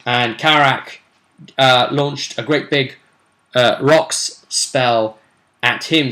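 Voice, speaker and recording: A man's voice: medium pitch, pushed and bright. A man telling the story of a role-play session as game master, in an informal, slangy and mildly sweary way.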